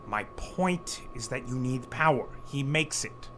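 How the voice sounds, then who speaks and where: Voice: medium pitch, expressive, a little slow, fairly bright tone, a little hiss or hum. An actor playing a tactful, folksy go-between in an audio drama, persuasive and a little hesitant.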